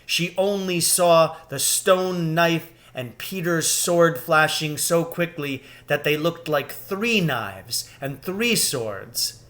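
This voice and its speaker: A man reading a children's story aloud with expressive, deliberate and dramatic phrasing. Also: on the high side. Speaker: reader, teacher reading aloud.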